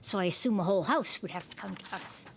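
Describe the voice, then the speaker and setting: A woman's voice: medium pitch, fast talker, vocal fry, a bit bright, slight background noise. A woman board member asking questions and calling the roll at a town meeting, matter-of-fact. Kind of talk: meeting discussion and roll call.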